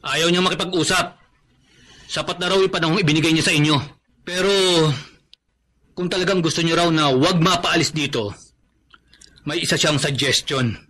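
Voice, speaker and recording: An actor playing a stern agent of a moneylender, blunt and demanding, in a Filipino radio drama with a Filipino accent. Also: male voice, on the high side, moderate pace, pauses often, bright, forceful tone, husky.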